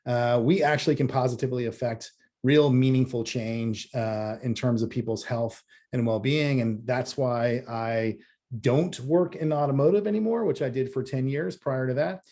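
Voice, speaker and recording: A man from the US East Coast speaks at an ordinary mid pitch in an informal presentation. He feels his way through sentences haltingly, pausing often as he introduces himself. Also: mellow. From US East Coast, Massachusetts. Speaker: company creative director presenting.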